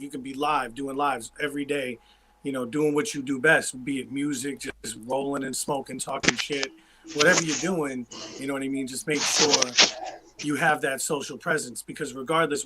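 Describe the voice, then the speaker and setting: A man's voice: medium pitch, fairly even intonation, moderate pace, very noisy. A man with a Boston-area New England accent, a musician guest talking loosely in a casual livestream interview. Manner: loose, rambling, self-interrupting.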